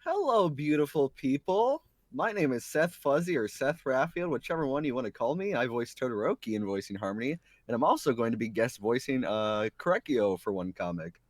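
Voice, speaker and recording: A man's voice: mid-range voice, sing-song, a little fast, dry recording, studio quality. A man who is a voice actor on a panel, introducing himself in a casual way.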